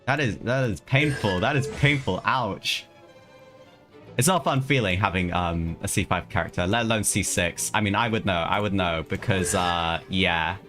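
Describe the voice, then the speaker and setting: A man with a fairly low voice hosts a live gaming stream. He talks casually and chattily to his viewers, often saying things twice over as he plays. Game music plays clearly behind him. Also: normal speed. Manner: chatty, repeats phrases, addresses viewers as lads. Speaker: game livestreamer.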